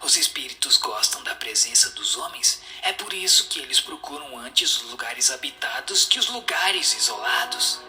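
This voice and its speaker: A man's voice: medium pitch, very animated, moderate pace, few pauses, sharp tone. A man with a Brazilian accent giving answers in a solemn scripted, dramatized reading. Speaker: reader of the answers. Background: music.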